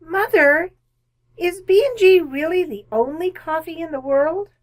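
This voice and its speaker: A woman's voice: extremely high pitch, expressive, measured pace, faint music behind. A woman playing a young, inquisitive, whiny schoolgirl daughter in an old-time radio family comedy.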